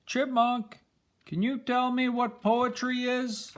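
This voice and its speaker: A man's voice: very high-pitched, flat delivery, slow, a bit bright, pitched above the performer's own voice, full character voice. Older man as a curious, polite storybook boy. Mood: curious, calling. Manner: questioning, calling out politely.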